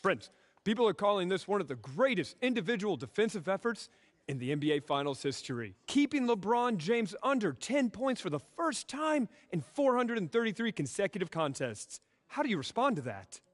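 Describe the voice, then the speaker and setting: A man's voice: sits high, very animated, a little fast. A man playing an eager sports reporter at a press conference in a video game. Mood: probing. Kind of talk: acted press conference questioning. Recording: long echoing room reverb.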